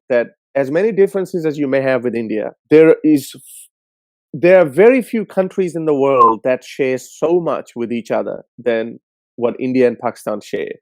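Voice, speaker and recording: A man hosting a call-in show, giving casual, blunt and scornful commentary in an animated way. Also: medium pitch, no echo.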